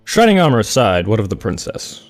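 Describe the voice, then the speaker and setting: Man voicing suspicious guard stallion, lowish voice, expressive, formal, protective, faint music. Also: brisk. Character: stern, dutiful, suspicious royal guard stallion. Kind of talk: fan audio drama acting.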